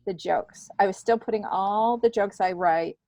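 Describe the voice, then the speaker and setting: Middle-aged woman chatting, low pitch, dry sound. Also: normal speed, darkish tone, dry recording, poor audio. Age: 50s. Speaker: podcast guest, comedian and writer. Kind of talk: casual interview chat.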